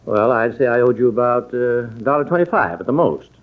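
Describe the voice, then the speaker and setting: Easygoing doctor dad male actor, mid-pitched and wry, conversational vintage radio comedy-drama, dull lo-fi sound. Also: animated, brisk, natural voice. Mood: reasonable haggling. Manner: wry, conversational, reasoning aloud. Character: easygoing small-town doctor and father, mildly thrifty. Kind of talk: old-time radio comedy-drama acting. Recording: dull old radio recording.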